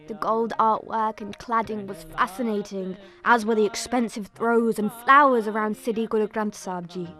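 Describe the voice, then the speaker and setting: A woman's voice: medium pitch, close to an everyday voice. A woman playing an earnest young pilgrim who narrates a family tragedy in a scripted audio drama.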